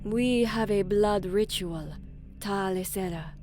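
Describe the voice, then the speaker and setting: A woman plays a shrewd alien military commander with a medium-pitched voice. She speaks slowly and formally, in clipped, guarded phrases. It is science fiction audio drama, with music running behind her. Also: darkish tone, close to an everyday voice. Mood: guarded, suspicious. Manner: measured, terse, formal.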